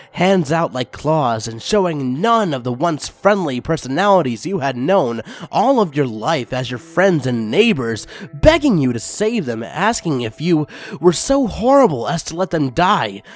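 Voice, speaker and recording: A man reading a fanfiction story aloud as channel host, excitable and crude in his asides. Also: on the high side, a little slow. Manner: excitable and crude in the intro, then steady reading.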